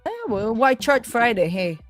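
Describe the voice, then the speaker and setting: A woman's voice: on the high side, animated, normal speed, music in the background. A woman talking casually and playfully on a livestream call from home, as a trader guest. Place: at home at a desktop computer.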